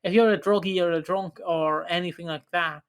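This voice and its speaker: A man's voice: high-pitched, steady tone, fairly quick, clear, resonant tone. A man co-hosting a casual podcast, blunt and heated, swearing freely. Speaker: podcast co-host.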